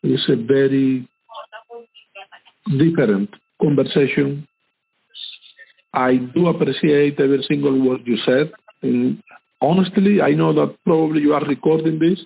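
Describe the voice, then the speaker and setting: A man's voice: on the high side, steady tone, a little slow, vocal fry, long pauses, slightly rough. A man being interviewed who speaks formally and graciously, thanking often. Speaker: interview guest. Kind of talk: interview conversation. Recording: tinny phone-line call.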